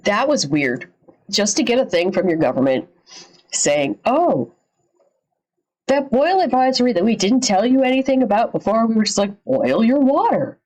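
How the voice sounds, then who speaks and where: A woman's voice: a little high, big pitch swings, normal speed, darkish tone, low-quality recording. A woman livestream host giving casual, slangy reaction commentary, repeating phrases and swearing a little.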